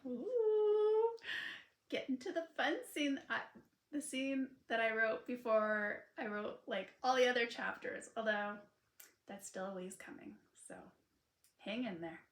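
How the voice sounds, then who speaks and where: A woman author reading her own romance novel aloud in an expressive, informal storytelling style. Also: fairly high pitch, measured pace. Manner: informal asides to listeners between passages. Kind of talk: expressive audiobook narration of a sweet romance. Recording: unedited roomy home recording.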